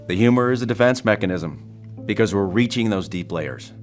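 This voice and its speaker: An actor as a calm, patient therapist, a man with a very low voice. He speaks in a measured, clinical but reassuring manner for an audio drama, over faint music. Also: lightly acted. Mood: patient, firm. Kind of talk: naturalistic audio drama acting.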